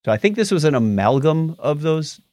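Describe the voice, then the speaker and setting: A man's voice: a little high, very animated, a little fast, a little mellow, no echo. A middle-aged man co-hosting a podcast, chatting casually and wryly about films and TV shows, often poking fun at himself. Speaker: podcast co-host.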